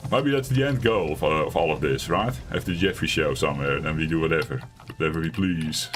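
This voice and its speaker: A man with a raspy voice streaming a survival game from home, chatting casually and rambling in a self-deprecating way. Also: extremely low pitch, fairly quick. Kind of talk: casual let's-play commentary.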